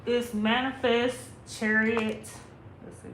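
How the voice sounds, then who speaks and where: A woman's voice: fairly high pitch, flat delivery, slow, a little hiss or hum. A woman in her late thirties, chatting casually and profanely with her viewers. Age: 30s. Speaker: livestream host. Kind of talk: casual live chat. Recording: roomy sound with music behind.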